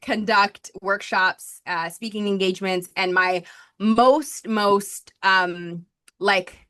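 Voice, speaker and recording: A woman's voice: medium pitch, fairly even intonation, slow pace, a bit bright, clean tone. A woman in her thirties from Colombia, a podcast guest telling her story in chatty talk full of fillers and likes. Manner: chatty, talks nonstop, many fillers and likes. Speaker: podcast guest, marketing strategist.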